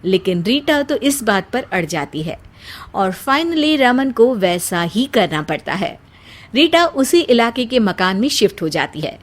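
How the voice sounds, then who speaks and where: A woman's voice: a little high, big pitch swings, unhurried, slight background noise. A woman narrating a scripted family story as the storyteller.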